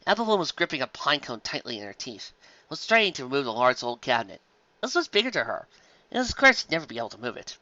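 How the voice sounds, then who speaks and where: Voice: mid-range voice, big pitch swings, quick pace, pushed and bright, dry recording, dull audio. A reader narrating a fan story aloud, with a plain delivery and occasional slips.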